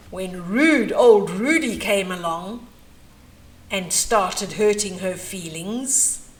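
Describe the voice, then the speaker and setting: An older woman reading a picture book to children as an expressive bedtime story, with chatty asides. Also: mid-range voice. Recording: roomy echo, faint background noise.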